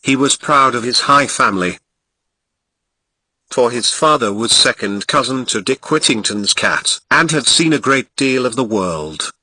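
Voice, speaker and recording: Man, Ghanaian accent, storybook narration, very bright sharp tone, lively swinging pitch, dry close sound. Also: unhurried, dry recording, poor audio. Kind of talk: storybook reading.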